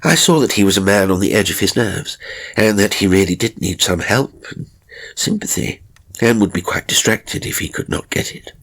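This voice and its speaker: A man voicing a timid, anxious novelist who recounts a haunting in a measured, theatrical horror audiobook style, with a gravelly, husky voice. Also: lowish voice, fairly bright tone. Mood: sympathetic, sombre. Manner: measured, theatrical, hesitant pauses. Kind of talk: dramatic first-person horror audiobook narration.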